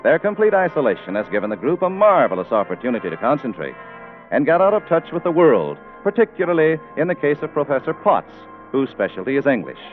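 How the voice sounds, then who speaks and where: A man's voice: medium pitch, very animated, quick pace. A man announcing and narrating a 1940s radio show in a showy, polished scripted style. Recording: muffled 1940s radio broadcast with music.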